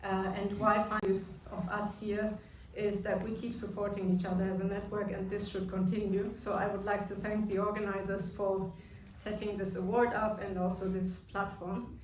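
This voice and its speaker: A woman's voice: medium pitch, level tone, normal speed, a little mellow, slight background noise. German-accented woman speaking in an echoey hall. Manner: earnest, with hesitant fillers. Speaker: award speaker. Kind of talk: speech at an awards evening. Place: large echoey function room. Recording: echoey room, distant mic, music behind.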